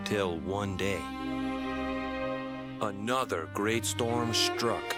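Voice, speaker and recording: Low-voiced earnest young island fisherman played by a man. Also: close to an everyday voice. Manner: colloquial, plain-spoken, earnest. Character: earnest, defensive young island fisherman, burdened by family rumors. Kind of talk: dramatic storytelling in video game cutscene. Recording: prominent game music behind the voice.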